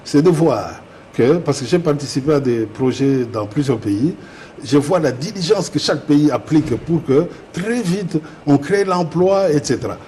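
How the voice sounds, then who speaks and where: Man, mellow tone, emphatic explainer, faint background hiss. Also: on the high side, expressive, moderate pace. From Democratic Republic of the Congo. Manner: explanatory, emphatic, repeats words while searching. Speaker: guest, metallurgist and industrial chemist. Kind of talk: interview discussion.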